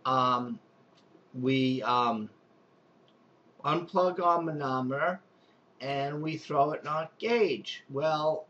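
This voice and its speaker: A man's voice: a little high, a bit bright, slight background noise. Rambling Long Island repairman, dull lo-fi sound. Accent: Long Island New York. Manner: rambling, loose, with many restarts and fillers. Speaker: pool and spa technician. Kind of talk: unscripted how-to demonstration.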